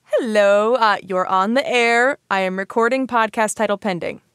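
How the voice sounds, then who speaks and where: A woman hosts an educational podcast with a casual, chatty delivery. She is playful and self-deprecating, sprinkling "like" through her sentences. Her voice has an average pitch and sits in a very dry recording space. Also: normal speed, fairly bright tone, smooth, no echo. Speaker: podcast host.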